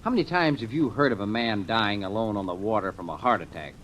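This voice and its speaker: A man's voice: on the low side, lively intonation, fairly bright tone. A man playing a probing, suspicious amateur sleuth, in 1940s radio style. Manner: probing, brisk, authoritative. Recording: noisy old radio drama recording.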